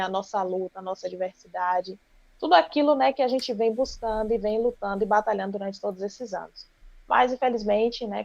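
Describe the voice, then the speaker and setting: Creaky-voiced Bahian woman, dull roomy sound. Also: mid-range voice, a little mellow. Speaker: guest, political pre-candidate. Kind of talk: speech to a live stream audience. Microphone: video call. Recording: dull, roomy video call audio.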